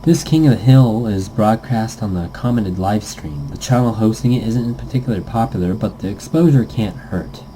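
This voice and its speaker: A man's voice: on the low side, dark tone, slight background noise, poor audio. A man casually reading a visual novel game aloud, stumbling over lines and tossing in goofy asides.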